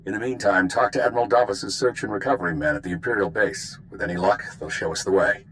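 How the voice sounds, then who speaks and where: An actor playing a curt, secretive intelligence spymaster in a video game, briefing in a stern, matter-of-fact way. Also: male voice, fairly low pitch, fast, a bit bright, slight background noise, lightly acted, poor audio. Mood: guarded. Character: curt, secretive Republic intelligence spymaster man giving orders. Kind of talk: dramatic video game voice acting.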